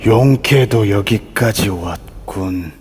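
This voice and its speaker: A man's voice: lowish voice, takes it slowly, darkish tone, slightly rough, full character voice. A man playing the vengeful, menacing ghost of an old-time lord in an amateur audio drama, speaking in archaic, imperious phrases. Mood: cold menace. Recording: long reverb throughout.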